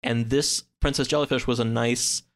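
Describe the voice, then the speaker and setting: A man speaking as a podcast guest in a casual, somewhat halting conversational way. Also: medium pitch, steady tone. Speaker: podcast guest and co-host of another show.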